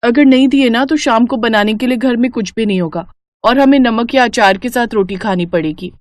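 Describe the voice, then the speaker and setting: A woman's voice: a little high, brisk, no echo, close to an everyday voice. A woman voicing a young city-bred daughter-in-law in a cartoon, earnest and outspoken, speaking politely and eagerly. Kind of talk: Hindi moral-story cartoon acting.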